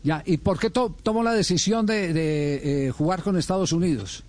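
A man's voice: high-pitched, moderate pace, darkish tone, soft background music. A man hosting a sports radio interview, probing with hesitant restarts.

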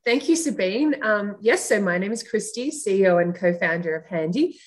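An Australian-accented woman speaks as a founder being interviewed. Her voice sits at a medium pitch, and she answers in a conversational way. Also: expressive, normal speed. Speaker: company co-founder and CEO, podcast guest.

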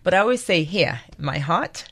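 A woman's voice: lowish voice, lively intonation, normal speed, a bit bright. A woman in her fifties from Nigeria, a guest in a conversational interview, talking reflectively with frequent restarts. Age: 50s.

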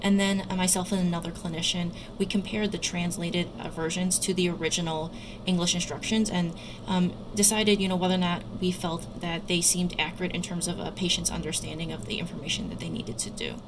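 A woman doctor and researcher giving careful, somewhat halting explanatory answers as an interview guest. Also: fairly low pitch, little pitch movement. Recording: loud background noise.

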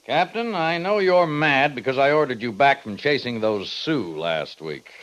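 Man playing animated army major, old radio sound. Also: mid-range voice, natural voice. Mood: stern. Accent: American. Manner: authoritative, measured, military. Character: firm, by-the-book army major, avuncular older officer. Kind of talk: 1950s radio western acting. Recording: dull lo-fi 1950s radio recording.

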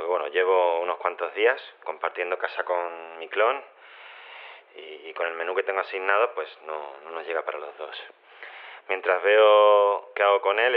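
On a narrow phone line, a low-voiced man in a radio comedy. He talks haltingly and awkwardly, with mild exasperation, as if leaving a voicemail. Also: clean tone. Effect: phone filter.

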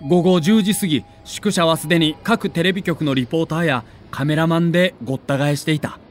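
Higher-voiced man narrating, faint background noise. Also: a little fast. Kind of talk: scripted documentary narration.

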